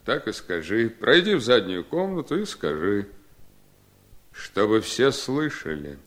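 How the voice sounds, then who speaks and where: Radio play role: authoritative gentleman giving orders firmly, lowish register with lively inflection. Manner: firm, measured, commanding. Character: Horace, authoritative ailing master of a Southern house. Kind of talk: Soviet radio drama acting.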